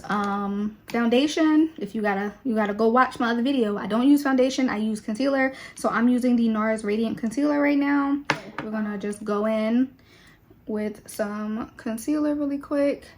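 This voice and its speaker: A young woman talks to her viewers in a loose, relaxed way. Her voice sits a little on the high side. She fills gaps with easy fillers as she chats while doing her makeup. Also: normal speed. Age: 20s. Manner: chatty and relaxed, with many fillers. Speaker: beauty vlogger.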